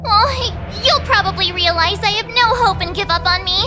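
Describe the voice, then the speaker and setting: A woman voicing a perky, excitable teenage girl in a video game, chatty and easily flustered. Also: very high voice, normal speed, over music. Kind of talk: scripted video game voice acting.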